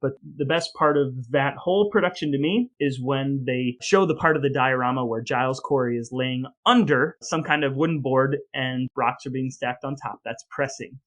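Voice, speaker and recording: A man's voice: medium pitch, moderate pace, hardly any pauses, fairly bright tone. A man with an American accent chatting casually and comedically as a podcast co-host, telling things in a wry, jokey way. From Elmore, Ohio, United States.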